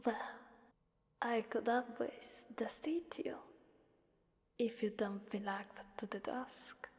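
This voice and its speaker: A woman voicing a menacing, bored and playful female demon in an ASMR roleplay, with a breathy, often whispering voice and teasing, condescending delivery. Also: fairly high pitch, steady tone, darkish tone. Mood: threatening, amused. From Italy. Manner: slow, teasing, condescending, drawn-out pauses. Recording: long cavernous reverb.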